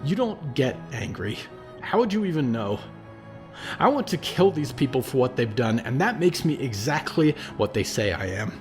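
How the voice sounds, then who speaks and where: A man's voice: on the low side, lively intonation, a little slow, soft background music. A man voicing an angry, guilt-torn young man who burns when enraged. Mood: anguished. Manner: strained, rising, outbursts.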